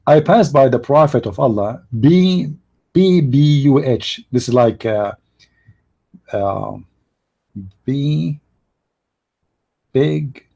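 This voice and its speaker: A man's voice: mid-range voice, expressive, takes it slowly, vocal fry, pauses often, mellow. Sarcastic man. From Middle East. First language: Arabic. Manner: sarcastic, joking, talks to the chat. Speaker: live-stream host. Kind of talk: mocking live-stream commentary.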